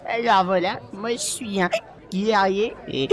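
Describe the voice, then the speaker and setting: Man playing a slow, boozy warrior. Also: sits high, fairly even intonation. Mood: bumbling. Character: slow, beer-loving drunkard warrior. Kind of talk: comic fantasy audio drama.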